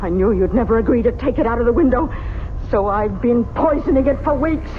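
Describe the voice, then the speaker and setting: An actress playing a spiteful, bitter wife in an old radio drama, taunting in a shrill, venomous way. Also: female voice, fairly quick, faint music behind, lightly acted. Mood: spiteful, gloating. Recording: muffled old radio recording.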